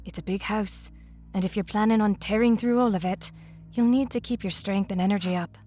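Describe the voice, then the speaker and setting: A woman's voice: mid-range voice, fairly even intonation, brisk, dark tone, natural voice. A woman playing a smug, scheming stepmother in an audio drama, with a mocking, condescending and needling manner. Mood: falsely sweet, smug. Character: smug scheming stepmother, falsely sweet then openly cruel.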